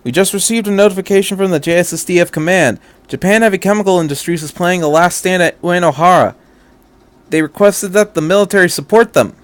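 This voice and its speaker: A man acts an alert military lieutenant in an audiobook story, his voice lifted in pitch. He reports formally and earnestly to his superior. The recording is dry. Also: fast talker, dry recording, close to an everyday voice. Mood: alert, worried. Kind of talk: fan fiction audiobook character.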